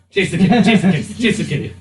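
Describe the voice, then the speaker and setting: A man improvising narration as the game master of a tabletop game, often pausing mid-sentence. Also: sits high, lively intonation, faint music behind. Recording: noisy room, distant mic.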